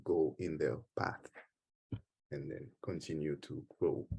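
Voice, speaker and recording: A man from Haiti with a Haitian accent, giving an earnest conference talk and taking questions, halting at times with repeated words. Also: a bass voice, steady tone, gravelly, a little mellow, breathy edge. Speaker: conference speaker. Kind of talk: conference speech then Q&A.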